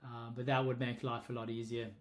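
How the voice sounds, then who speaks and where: South African man, flat rambling tutorial voice. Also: mid-range voice, brisk. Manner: rambling, self-correcting, thinks aloud. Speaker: short-term rental host explaining pricing. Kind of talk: casual screen-recorded tutorial.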